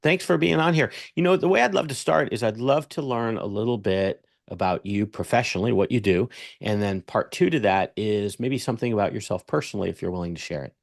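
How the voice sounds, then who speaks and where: A male podcast host with a lowish voice, asking long, rambling questions in an upbeat way. The sound is dry and close, with almost no room in it. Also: brisk, gravelly, few pauses, no echo. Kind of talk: podcast interview.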